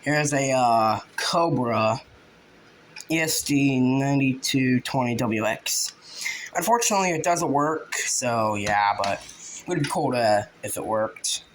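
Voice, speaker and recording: A man giving a casual, halting show-and-tell, informal and often trailing off. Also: medium pitch. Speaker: hobbyist video creator. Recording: noisy home video with clicks.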